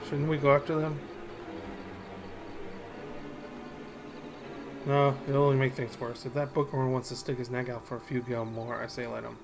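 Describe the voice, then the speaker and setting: A man's voice: medium pitch, level tone, fast talker, natural voice. A man voicing proud, distrustful hunters from a fantasy game, curt and dismissive, as he reads on stream. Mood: tense, scheming. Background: game music.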